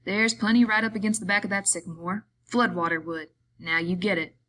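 A woman voicing a gruff, exasperated working man who gives brusque orders in rough rural slang, in an audiobook story. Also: mid-range voice, steady tone, fairly quick, pushed and bright. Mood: scoffing, bossy. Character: gruff, bossy, exasperated working man minding his friend.

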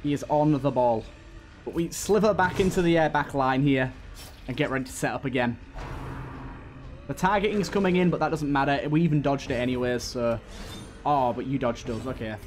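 A man's voice: medium pitch, moderate pace, faint music behind. A man giving casual let's-play commentary, thinking aloud as he plays. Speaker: gaming video host.

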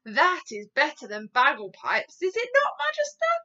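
A woman voicing a big, friendly male storybook giant, excitable and childlike, with mangled grammar and invented words. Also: very high voice, unhurried, highs cut off, pitched above the performer's own voice, exaggerated voice. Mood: gleeful.

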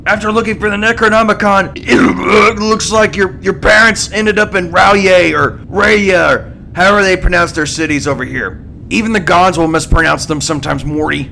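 A man voicing a brash, cynical old scientist grandfather in a cartoon audio drama, gruff, stammering and foul-mouthed. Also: high voice, fairly even intonation, moderate pace, pushed and bright, slight background noise, full character voice, poor audio. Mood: dismissive.

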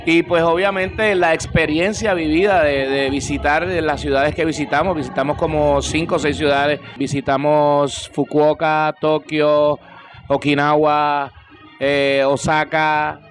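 Puerto Rican male singer, fairly high voice, casual interview with faint music underneath. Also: flat delivery, unhurried. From Puerto Rico. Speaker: salsa singer being interviewed. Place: indoor room at a nightclub event. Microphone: handheld interview microphone.

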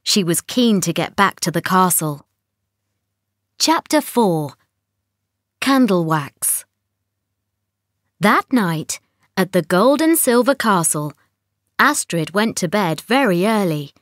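Woman, children's story narrator, slow, expressive, dry studio sound. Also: medium pitch, no echo.

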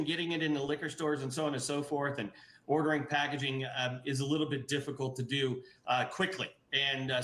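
A man's voice: on the high side, sharp tone. Chatty middle-aged man on a roomy webcam. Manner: enthusiastic, many fillers and restarts. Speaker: brewery guest. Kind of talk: casual interview over video call. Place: indoor office room. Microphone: video call webcam.

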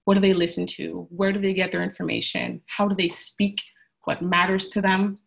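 Young female webinar presenter, slightly low, gravelly, casual and loose, on earbuds. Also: moderate pace. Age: young adult. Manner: chatty, many "um"s, speaks of youth speaking to youth. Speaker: webinar presenter, communications manager. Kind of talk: presenting slides, then leading discussion. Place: indoor room at home. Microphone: earbuds on video call.

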